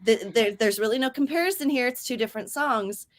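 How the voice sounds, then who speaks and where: A young woman hosting a writing stream in casual chat, telling stories lively and acting out quoted speech with big jumps in pitch. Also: brisk.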